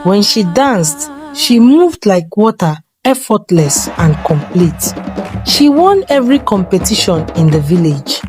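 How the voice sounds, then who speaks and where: A woman narrating a scripted folktale in a measured, dramatic storytelling manner. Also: medium pitch, sing-song, a little mellow, soft background music.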